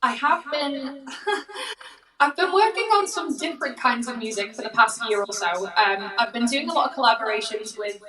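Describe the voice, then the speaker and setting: A woman's voice: a little high, lively intonation, normal speed. Liverpool-accented young woman, echoey and distant. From near Liverpool, England. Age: young adult. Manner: soft-spoken, giggly, lots of ums. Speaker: guest songwriter. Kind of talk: casual interview chat.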